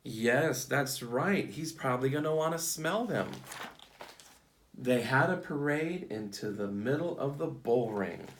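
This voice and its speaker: A man's voice: mid-range voice, expressive, unhurried, slight background noise. A man reading a picture book aloud to children in simple, teacherly phrasing, prompting listeners as he goes. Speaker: read-aloud storyteller for children. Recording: echoey, roomy sound.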